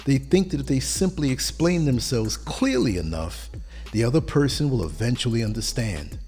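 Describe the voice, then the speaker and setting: A man hosting a podcast, giving a motivational monologue in short, emphatic lines, his voice hoarse from a long day of talking. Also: mid-range voice, sing-song, a little mellow, faint music behind. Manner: measured, emphatic delivery in short declarative lines. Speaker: podcast host.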